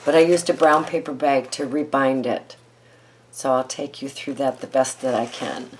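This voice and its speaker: A hoarse woman with a froggy voice hosting a casual crafting tutorial, chatting and thinking aloud with ums as she works. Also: a bass voice, fairly even intonation, moderate pace, slight background noise, low-quality recording. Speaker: craft video host.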